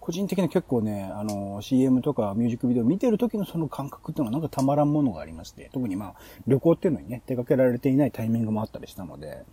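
A man's voice: mid-range voice, big pitch swings, racing, hardly any pauses, dark tone, husky. A man on a podcast in casual brainstorming talk, explaining his ideas in long, run-on clauses. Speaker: podcast host.

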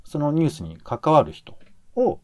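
A man's voice: on the high side, huge pitch swings, moderate pace, no room sound. A man co-hosting a casual podcast discussion, explaining his points as he thinks aloud. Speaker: podcast co-host. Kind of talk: casual podcast discussion critiquing radio shows.

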